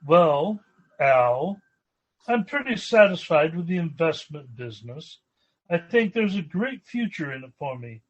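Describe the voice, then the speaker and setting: A genteel young millionaire played by an actor in a radio sitcom, earnest and courteous. His voice is high and unhurried, on a slightly muffled recording. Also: big pitch swings. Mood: mild, puzzled. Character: polite, genteel, mild-mannered young millionaire banker. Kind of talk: old-time radio sitcom acting.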